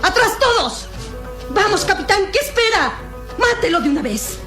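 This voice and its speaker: An actress with a Latin American Spanish accent plays a cold, ruthless, commanding villainess in a radio drama, urgent and imperious. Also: female voice, very high-pitched, very animated, normal speed. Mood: impatient, commanding. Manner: imperious, urging. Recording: echoing radio drama with music.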